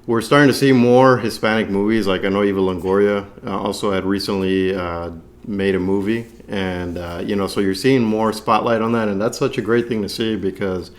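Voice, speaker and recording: Male co-host from Texas, deep-pitched, casual and rambling, chatting about films. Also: fairly even intonation, fairly quick. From San Antonio, Texas, United States. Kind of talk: casual movie review discussion.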